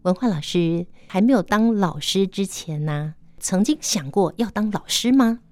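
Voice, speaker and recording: Female radio interviewer, Taiwanese Mandarin accent, mellow tone, dry studio sound. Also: mid-range voice, normal speed, no room sound.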